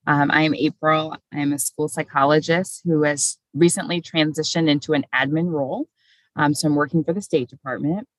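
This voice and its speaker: A woman's voice: low voice, fairly even intonation, brisk, no echo, low-quality recording. A woman, a school psychologist, speaking casually on a panel with frequent ums.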